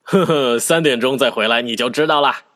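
A man's voice: mid-range voice, a little fast, a bit bright, close to an everyday voice. A man playing a jolly, secretive baker in a children's audio drama, playful and teasing, with music behind. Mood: playful secrecy. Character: jolly, secretive male cake-shop baker.

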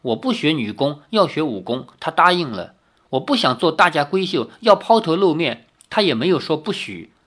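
A man voicing a spirited, pampered young woman skilled in martial arts, speaking in a raised, softer voice. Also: a little high, very animated, a little fast. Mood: wistful.

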